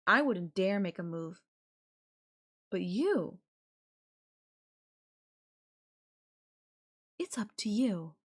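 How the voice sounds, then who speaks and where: An obsessive yandere girlfriend, acted by a woman for an intimate audio roleplay. She speaks slowly at a middling female pitch, drawing her phrases out coyly. Her casual, chatty wording carries a possessive, playful menace. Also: full character voice. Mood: teasing, menacing. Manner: coy, drawn-out, casual filler-laden phrasing with giggles and sighs. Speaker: voice actor.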